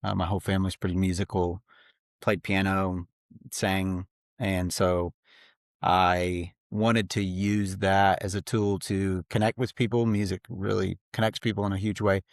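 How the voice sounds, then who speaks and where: Man, 30s, reflective guest talk, deep low voice, dry sound. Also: level tone, unhurried, dry recording. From grew up in Slovakia. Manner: reflective, earnest, many fillers. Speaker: podcast guest. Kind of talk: casual interview talk. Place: home office.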